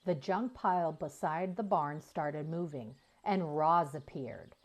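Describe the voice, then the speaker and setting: A woman reading a children's book aloud as an expressive storyteller, with deliberate emphasis for young listeners. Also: low-pitched. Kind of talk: expressive scripted children's narration.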